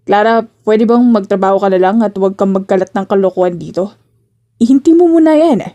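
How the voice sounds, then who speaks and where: A woman puts on a serious, brooding male boss for an audio romance drama. The delivery is curt and stern, in a soft, mellow timbre with a cold, impatient temper. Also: medium pitch, a little fast, close to an everyday voice.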